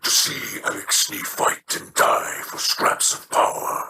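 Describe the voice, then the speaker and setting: An actor playing a proud, brooding alien pirate lord in a video game, with a gravelly voice. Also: male voice, slow pace, pushed and bright, roomy sound, distant mic.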